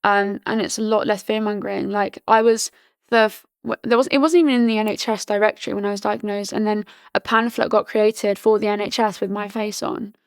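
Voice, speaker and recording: A woman in her early twenties from Britain, chatting casually as a podcast guest, full of "like" fillers and restarts, her voice slightly hoarse. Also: medium pitch, steady tone, fairly quick, dry recording, clean studio sound. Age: early 20s. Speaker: podcast guest, charity founder. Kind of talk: casual interview chat.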